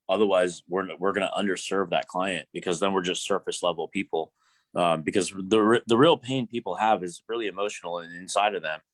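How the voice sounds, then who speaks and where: A man's voice: low-pitched, no room sound. A man hosting a live coaching Q&A, talking casually in a blunt, profane, rambling way with many restarts.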